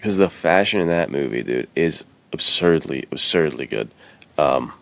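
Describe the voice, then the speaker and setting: A man's voice: extremely low pitch, lively intonation, normal speed, creaky. A man co-hosting a podcast in casual, slangy chat, often saying "dude" and "like". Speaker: podcast co-host.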